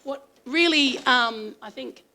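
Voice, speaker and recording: A woman's voice: high voice, slow, sharp tone. Australian woman in middle age, conference speaker, earnest and chatty with lots of ums, slightly strained voice. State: strained voice from singing. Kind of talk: conference presentation.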